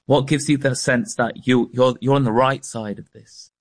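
A man presenting a documentary and interviewing a guest, asking measured, probing questions. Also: medium pitch, fairly even intonation, no room sound, clean studio sound. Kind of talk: broadcast interview.

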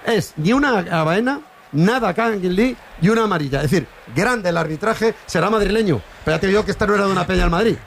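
A man's voice: high-pitched, expressive, fast, creaky, slight background noise. A man giving blunt, insistent opinions as a football pundit in a heated debate.

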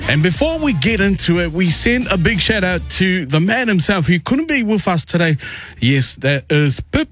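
A man's voice: sits high, sing-song, normal speed, a bit bright, dry recording, soft background music. A man hosting a radio show, interviewing musical guests in an effusive, rambling way.

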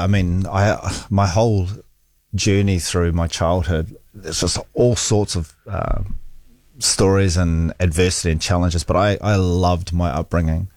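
A middle-aged man with a New Zealand accent speaks in a very low, gravelly voice. He tells his life story in a relaxed, candid and self-deprecating way. The studio sound is dry and close. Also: no room sound. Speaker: guest. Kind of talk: casual interview storytelling. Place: radio studio.

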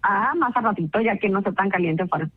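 A woman's voice: mid-range voice, quick pace, narrow, tinny audio. A woman talking on the phone, patient and polite, often laughing. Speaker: prank call target. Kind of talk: phone conversation. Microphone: phone call.

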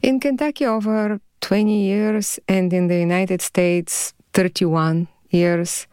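Bulgarian lady guest on a radio interview, slow careful talk, dry close sound. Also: mid-range voice, fairly even intonation, a little mellow, clear, resonant tone, no room sound. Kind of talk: interview answers.

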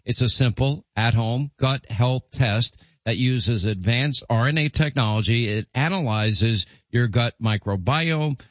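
A man's voice: mid-range voice, unhurried, few pauses, no echo, lo-fi sound. A male radio host doing a live-read ad in an upbeat, persuasive way.

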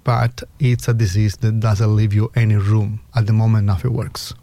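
A middle-aged man with a strong Italian accent speaks as a guest researcher, pausing often on filler sounds. His voice is low and mellow. The recording is close and dry. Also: little pitch movement, no echo. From Italy (Sicily). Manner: hesitant, many filler sounds, earnest.

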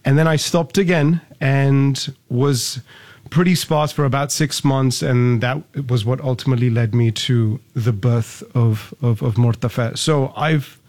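A young man from Singapore, a startup founder answering interview questions in a measured, wordy way with formal phrasing. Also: mid-range voice, fairly even intonation, darkish tone.

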